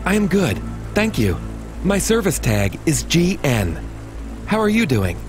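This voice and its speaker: An actor playing a brand-new service robot in a video game, polite, literal and cheerfully innocent. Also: male voice, fairly high pitch, huge pitch swings, measured pace, over music.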